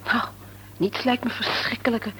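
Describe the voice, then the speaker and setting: A woman's voice: fairly high pitch, steady tone, moderate pace, sharp tone, husky, exaggerated voice. A candid, earnest married woman. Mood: doubtful, earnest. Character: Annie, childless married woman, doubtful but candid. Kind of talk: Dutch radio play acting. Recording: slightly noisy radio play recording.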